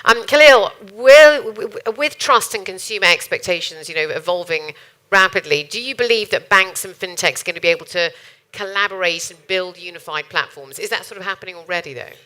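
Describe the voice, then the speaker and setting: Bright-voiced female panel moderator. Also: fairly low pitch, brisk, low-quality recording. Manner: conversational, chatty questions.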